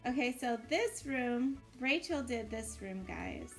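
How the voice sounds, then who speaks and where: A woman with a fairly high voice hosts a video game tour over background music. She chats casually and encourages her co-hosts as she shows things off. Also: lively intonation, slow pace. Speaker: host of a family Roblox build tour.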